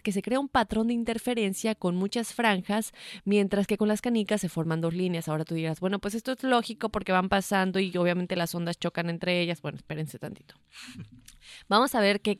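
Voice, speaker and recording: A woman's voice: medium pitch, dry recording. A woman with a Mexican accent co-hosting a podcast, explaining science in a chatty way, laughing easily and restarting words. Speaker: podcast co-host. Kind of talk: casual explainer chat.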